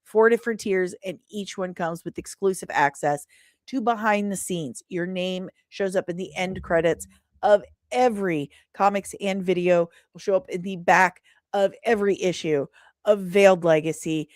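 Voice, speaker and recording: A woman's voice: lowish voice, moderate pace, a little mellow, dry recording. Chatty woman podcaster, close dry sound. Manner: chatty, playful, informal. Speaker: podcast host and indie comic creator. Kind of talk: casual livestream sign-off and promotion.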